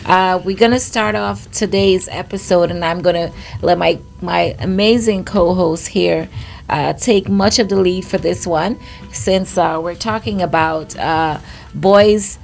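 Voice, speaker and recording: A woman's voice: lowish voice, a little slow, creaky, a little mellow, faint music behind. A woman from Guyana with a Guyanese accent, co-hosting a podcast in casual chat. She laughs often and restarts her phrases. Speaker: podcast co-host.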